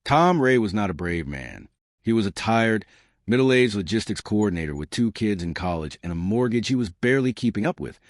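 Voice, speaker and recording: A man narrating a dramatic story as a scripted audiobook, with deliberate phrasing that draws the listener in. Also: on the low side, animated, no echo, studio quality.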